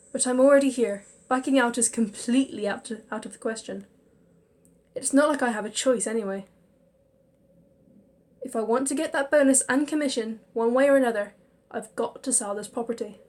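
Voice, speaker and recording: A woman reading a visual novel aloud, expressive, with chatty asides. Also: a little high. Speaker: reader and narrator. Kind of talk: narrating a visual novel with inner monologue.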